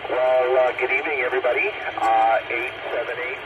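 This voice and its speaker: A man speaking haltingly over a radio link as a CB operator, casual and using call jargon. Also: medium pitch, steady tone, narrow, tinny audio. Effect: radio transmission. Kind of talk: casual radio contact chat. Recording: loud static, CB radio transmission.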